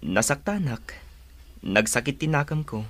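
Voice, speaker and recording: An actor playing an earnest, devoted young suitor in a radio drama. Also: male voice, mid-range voice, big pitch swings, unhurried, a bit bright. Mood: earnest, tender.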